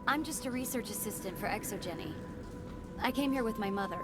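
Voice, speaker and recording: A woman's voice: medium pitch, lively intonation, fairly quick, loud music behind the voice, natural voice. A woman playing an anxious, apologetic young research assistant in a video game, speaking hesitantly and earnestly. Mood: anxious, uneasy. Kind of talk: video game dialogue acting.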